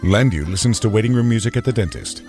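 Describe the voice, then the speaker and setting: A man narrating a scripted comic advert in a deadpan manner. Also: on the low side, quick pace. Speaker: advert announcer. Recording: music bed behind.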